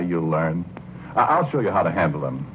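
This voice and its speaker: An actor playing a gentle husband obsessed with his flowers, speaking haltingly and earnestly in 1940s radio drama style on a muffled old recording. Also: low-pitched, big pitch swings, slight background noise. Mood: mild, defensive. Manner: halting, earnest, repeats phrases.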